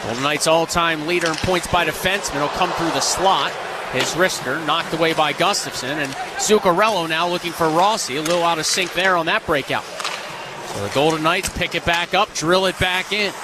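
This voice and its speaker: High-pitched male hockey play-by-play announcer. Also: normal speed, noisy background. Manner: clipped, vivid running call. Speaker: ice hockey radio play-by-play commentator. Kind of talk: live sports play-by-play commentary.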